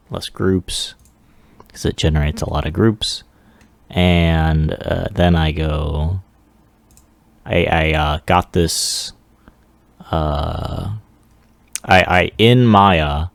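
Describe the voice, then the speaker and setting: A man giving a casual, unscripted software walkthrough in a halting, offhand way with mumbled asides. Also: low voice, gravelly, dry recording. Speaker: 3D animation hobbyist presenting a demo.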